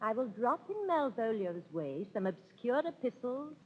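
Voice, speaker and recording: A woman's voice: on the high side, sing-song, normal speed, narrow, tinny audio. An actress playing a mischievous, witty lady's maid in a 1930s radio Shakespeare comedy, gleeful and conspiratorial. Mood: gleeful scheming. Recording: husky old 1930s radio broadcast.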